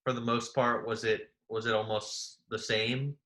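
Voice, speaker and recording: A young man hosting a podcast, asking curious, friendly questions with quick, filler-laden delivery. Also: mid-range voice, little pitch movement, fairly bright tone. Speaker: podcast host. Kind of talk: casual interview chat.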